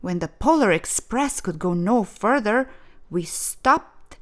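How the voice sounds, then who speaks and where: Female storyteller for children's read-aloud. Expressive and deliberate, taking her time, with lively swings in pitch. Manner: deliberate, dramatic phrasing for children. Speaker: children's storybook reader, host.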